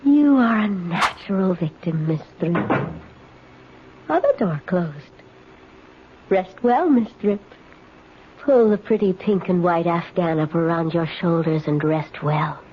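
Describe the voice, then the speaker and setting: An actress playing a sly, coolly insolent woman drifter in a radio mystery, languid and softly taunting. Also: lowish voice, slow. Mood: gloating menace. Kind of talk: 1970s radio mystery drama acting. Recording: muffled, noisy 1970s radio broadcast.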